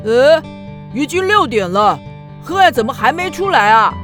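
A woman playing a kindly, reassuring sun in a children's radio drama, speaking gently and expressively. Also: sits high, very animated, moderate pace, faint music behind. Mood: worried. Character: kindly, reassuring grown-up sun, caring friend.

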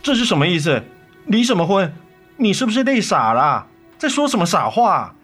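Unfaithful husband and father for radio drama: highly expressive pitch, emotional, defensive, remorseful, pleading. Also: normal speed, full character voice. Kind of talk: Mandarin radio drama acting. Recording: studio radio drama with music underneath.

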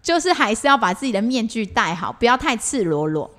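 Expressive Taiwanese woman, very lively pitch. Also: a little fast. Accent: Taiwanese Mandarin. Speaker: podcast co-host. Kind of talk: casual chat.

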